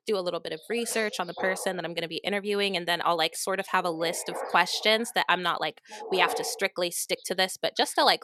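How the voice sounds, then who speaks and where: A woman's voice: lowish voice, background noise. A woman hosting a podcast, chatting in an effusive, gushing way with lots of "like" fillers. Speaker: podcast host. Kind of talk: casual interview chat.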